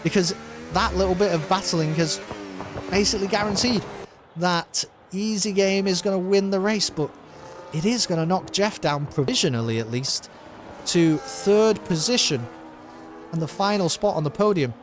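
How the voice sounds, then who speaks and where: A man commentating a sim race and signing off his stream, excitable and rambling, with his voice wearing thin after a long session. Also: high-pitched, moderate pace, slight background noise. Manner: excited, rambling, with many uh fillers. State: losing his voice.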